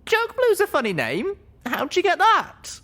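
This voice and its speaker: Man putting on a high young female pony. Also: huge pitch swings, a little slow, sharp tone, heavily acted. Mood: tipsy, puzzled. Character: young female pony, drunk, anguished, guilt-ridden.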